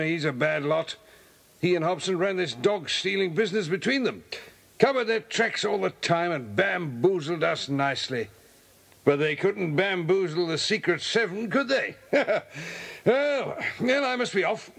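High-voiced, hearty British police inspector. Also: male voice, expressive, measured pace, gravelly, a bit bright, full character voice. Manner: hearty, polite, chatty. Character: genial, brisk male police inspector. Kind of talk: dramatised children's audiobook acting.

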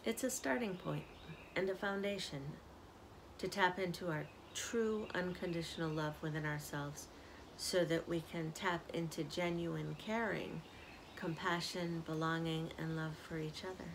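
An older woman, a community minister, giving a gentle spiritual reflection on an outdoor porch, filmed by a camera close up. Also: fairly low pitch, steady tone, background noise. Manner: slow, soft-spoken, reflective. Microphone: camera at close range. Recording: outdoor ambience audible behind.

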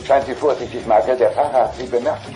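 An actor playing a polite, prim talking computer car in an audio drama, formal and precise. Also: male voice, mid-range voice, steady tone, fast, dark tone, faint music behind, lightly acted. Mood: urgent, sober.

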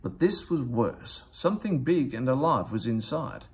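Expressive man reading a children's story. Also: medium pitch, measured pace, husky. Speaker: storybook reader. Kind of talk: children's story read aloud, scripted narration.

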